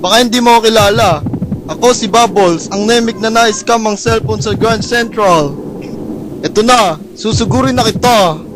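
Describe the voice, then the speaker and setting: Male actor as a flamboyant alien conqueror, high voice, mocking and theatrical, noisy amateur take. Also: moderate pace, natural voice. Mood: taunting. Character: campy flamboyant male alien invader, boastful. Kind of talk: amateur radio drama acting.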